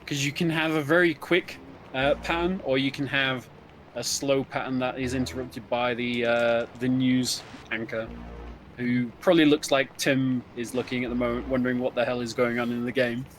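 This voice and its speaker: A man's voice: medium pitch, level tone, normal speed, slight background noise. A man giving chatty, emphatic co-commentary on a video game speedrun, explaining it casually and repeating words for stress. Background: video game music.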